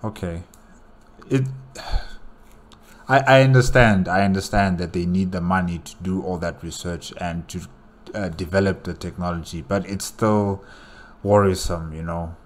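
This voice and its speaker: A man giving casual, unscripted reaction commentary, halting and repeating phrases as he thinks aloud. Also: low voice, steady tone. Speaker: reaction video host.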